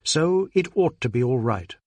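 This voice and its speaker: A man's voice: medium pitch, very animated, moderate pace, a little mellow, no room sound. A man reading a detective novel aloud as a dramatic audiobook narrator.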